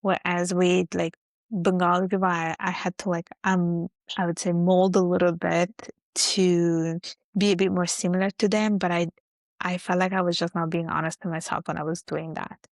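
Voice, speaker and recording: A woman's voice: fairly low pitch, little pitch movement, moderate pace, no echo. A young adult woman with an Italian accent, reflecting in casual interview chat with many fillers. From Italy. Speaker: guest.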